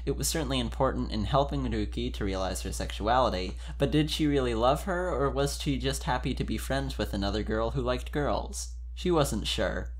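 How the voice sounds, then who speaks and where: A man's voice: medium pitch, normal speed, few pauses. A man speaking informally and expressively, as a podcast member giving an amused dramatic reading of fan fiction.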